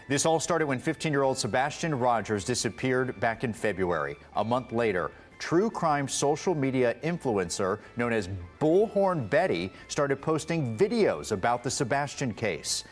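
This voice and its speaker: Male TV news anchor over music. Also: medium pitch. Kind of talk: scripted TV news narration.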